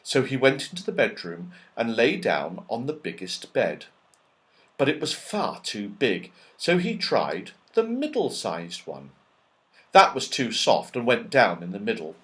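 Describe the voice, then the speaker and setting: A man's voice: medium pitch, wildly swooping pitch, measured pace, fairly bright tone. A man narrating a children's story expressively, with dramatic and emphatic phrasing. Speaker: audiobook narrator.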